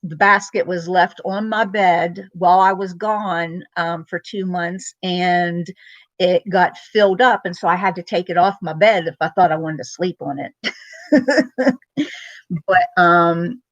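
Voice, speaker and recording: A middle-aged woman chats loosely with her viewers from home, full of ums and side remarks. Her voice sits on the low side. The recording is dry and a bit dull. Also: a little slow, dry recording. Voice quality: loud voice. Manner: rambling, many ums, greets viewers by name. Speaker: vlogger. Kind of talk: casual live-stream chat.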